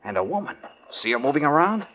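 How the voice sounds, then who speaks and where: An actor playing a hard-boiled, sardonic private detective with a dry, brisk delivery in 1940s radio drama style. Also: male voice, fairly high pitch, sing-song, a bit bright, muffled recording, slight background noise. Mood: tense, hushed.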